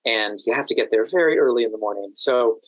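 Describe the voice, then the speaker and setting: A man answering interview questions in a measured, careful way, pausing mid-sentence as he explains. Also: mid-range voice, no echo, low-quality recording. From United States, Washington DC area. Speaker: podcast guest, standardized patient and exam event administrator.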